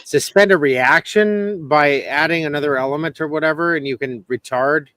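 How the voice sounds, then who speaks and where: Guy co-hosting a call-in chat, kinda high voice, dry close sound, rambly jokey. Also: normal speed, sharp tone, no echo. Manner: joking, rambling, trails off mid-sentence. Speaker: co-host.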